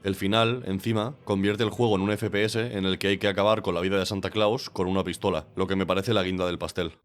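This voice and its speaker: A man's voice: deep voice, steady tone, fast talker. A man with a stuffy, congested voice from a cold, reading a scripted game review with dry, sarcastic humor. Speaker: game reviewer, channel host.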